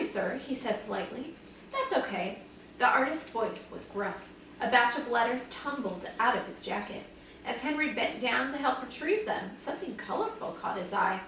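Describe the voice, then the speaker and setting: Animated woman reading aloud in an echoey room. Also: high voice. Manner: animated, dramatizes dialogue for young listeners. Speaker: teacher reading aloud to second graders. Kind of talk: expressive children's storybook read-aloud. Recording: very echoey room, distant mic, faint noise.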